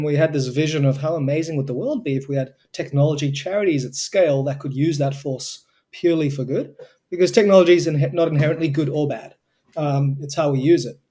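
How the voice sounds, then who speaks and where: Earnest Australian man, mellow tone. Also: a little high, a little fast, slightly rough. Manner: earnest, fluent, explaining with conviction. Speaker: guest, co-founder of a tech charity. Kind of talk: remote interview answers.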